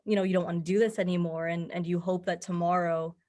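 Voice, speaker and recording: A young woman with a slightly low voice that moves little in pitch. She talks conversationally, like a guest answering interview questions, offering advice in an earnest and encouraging manner. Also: steady tone, quick pace, warm tone. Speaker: podcast guest, mental health advocate.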